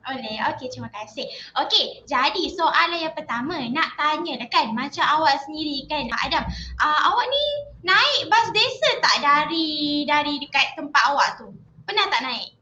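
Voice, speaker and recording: A woman's voice: sits high, lively intonation, moderate pace, sharp tone. A woman hosting a podcast interview, chatty and friendly, repeating "okay, alright" between questions. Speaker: podcast host interviewing a guest. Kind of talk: casual chat interview. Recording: roomy dull lo-fi sound with faint noise.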